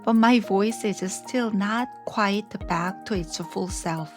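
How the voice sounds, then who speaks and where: Slow-talking woman podcast host, slightly scratchy. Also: medium pitch, over music. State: slightly scratchy voice after strep throat. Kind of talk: scripted podcast narration.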